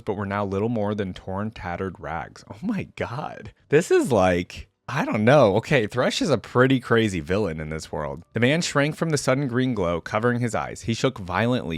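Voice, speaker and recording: Man, lowish pitch, very dry recording, reaction host, story reading and casual commentary. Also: sing-song, moderate pace, hardly any pauses, no room sound.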